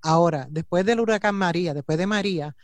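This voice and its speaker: A host who asks questions and explains in a measured way. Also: mid-range voice, no room sound. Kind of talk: casual chat.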